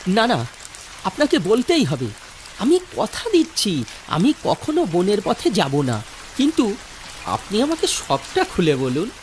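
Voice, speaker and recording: Woman as an animated, insistent young villager. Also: mid-range voice, measured pace, pauses often, slight background noise. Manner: urgent, stubborn pleading. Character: frightened, insistent young village man, Sajal. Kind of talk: dramatic cartoon voice-over.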